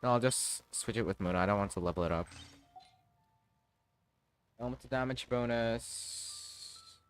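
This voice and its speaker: A man live-streaming a game, thinking aloud in a casual, halting way with some mild swearing. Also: medium pitch, soft background music.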